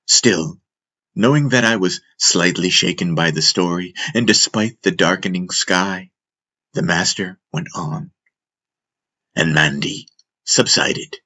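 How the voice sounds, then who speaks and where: A man narrating a fantasy audiobook in a measured, solemn, dramatic storytelling style. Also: deep voice, pushed and bright.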